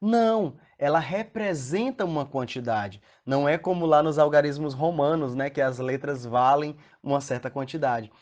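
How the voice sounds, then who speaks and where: A man's voice: on the high side, normal speed, few pauses, darkish tone. A man with a Brazilian Portuguese accent teaching a recorded math lesson, patiently explaining step by step and often checking in with the class through questions.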